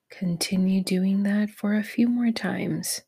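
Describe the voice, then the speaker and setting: A woman leading a guided meditation in a soft, breathy, near-whispered voice, gentle and encouraging toward the listener. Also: medium pitch. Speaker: meditation guide, podcast host.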